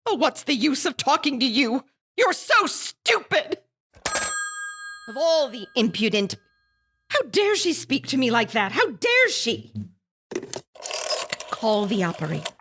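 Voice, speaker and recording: A very high, shrill invalid wife, in a suspense radio play. She is fretful, imperious and easily outraged, and her pitch leaps about theatrically as she frets and demands. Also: sing-song, unhurried, long pauses, a bit bright, a little hiss or hum, full character voice. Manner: shrill, fretful, indignant, stammering. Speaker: volunteer actor.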